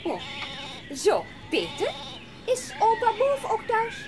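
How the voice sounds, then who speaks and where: An actress playing a brisk, insistent, hard-hearted aunt in a Dutch audio drama. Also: female voice, very high-pitched, huge pitch swings, music in the background, exaggerated voice. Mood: hurried.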